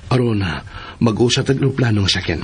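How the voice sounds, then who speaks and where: A man playing an agreeable husband and young father in a Filipino radio drama, with a husky, raspy voice and a Filipino accent. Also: mid-range voice, fairly quick. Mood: coaxing, agreeable.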